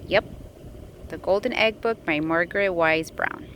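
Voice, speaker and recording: A woman reading a picture book aloud to a child in an expressive, engaging way, with questions for the listener. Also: lowish voice, a little slow, noisy background.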